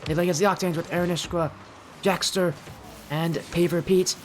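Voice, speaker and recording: A teenage boy with a high-pitched, youthful voice, chatting with his live stream audience and casting a video game match, loud and excited on goals. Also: normal speed, slight background noise. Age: teens. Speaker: esports caster. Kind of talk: live stream chat and play-by-play commentary.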